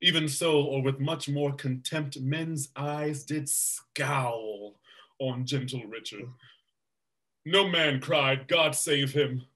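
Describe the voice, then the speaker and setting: A man playing a grave, loyal duke, telling the story in measured verse on a video call. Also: mid-range voice, fairly bright tone. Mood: solemn. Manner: measured storytelling, bursting into alarm. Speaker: actor in a staged reading. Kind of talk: Shakespeare verse reading. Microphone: webcam on a video call.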